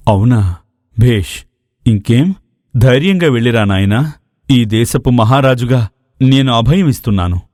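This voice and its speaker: A man voicing a patient, fatherly maharaja with measured, formal speech in a dramatized moral story. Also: medium pitch, lively intonation, darkish tone, dry recording, studio quality, lightly acted. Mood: fond, encouraging.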